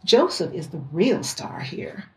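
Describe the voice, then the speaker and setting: An actress playing a seductive, scheming rich wife in a theatrical, coaxing audio drama style. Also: female voice, fairly low pitch, big pitch swings, unhurried, darkish tone. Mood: coaxing, sweet. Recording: roomy echo, faint music behind.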